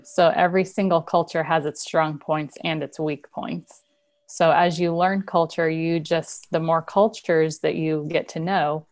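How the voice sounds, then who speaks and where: A woman with a low voice in a casual discussion. She speaks in a thoughtful, measured way.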